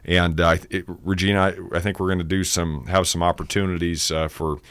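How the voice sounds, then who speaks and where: A man's voice: low voice, flat delivery, fairly quick, a bit bright, smooth, no room sound. A man who is a guest on a radio show, talking hesitantly with restarts. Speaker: guest, event organizer. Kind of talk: casual radio interview.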